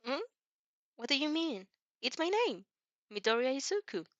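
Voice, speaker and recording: A woman's voice: high voice, sing-song, normal speed, a bit bright, dry recording, highs cut off, voice pushed up. A woman acts out a nervous, good-hearted teenage boy in a fan story reading. The voice is raised and close, with a dry sound. He speaks eagerly, stumbling over words as he reassures and helps. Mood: cheerful, puzzled. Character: earnest, helpful, nervous teenage boy student.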